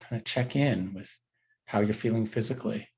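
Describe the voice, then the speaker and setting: A man teaching a guided body scan meditation, giving gentle, unhurried instructions with frequent pauses. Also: on the low side, vocal fry.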